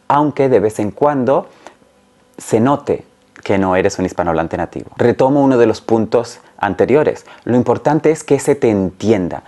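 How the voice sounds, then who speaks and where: A man in his forties, a native Spanish speaker, explaining carefully and clearly as a language teacher talking to camera. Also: lowish voice, dark tone. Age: 40s. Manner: clear, careful, didactic phrasing. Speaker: Spanish teacher and channel host. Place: small room at home, indoors.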